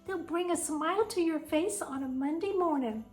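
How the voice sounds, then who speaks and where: Woman, high voice, raspy from a sinus cold, chatty, meandering, faint music behind. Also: normal speed. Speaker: vlog host. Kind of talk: casual home tour chat. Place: home kitchen.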